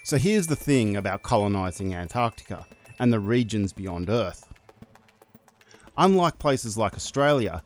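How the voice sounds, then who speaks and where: A man presenting scripted narration, in a measured storytelling delivery. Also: lowish voice, darkish tone.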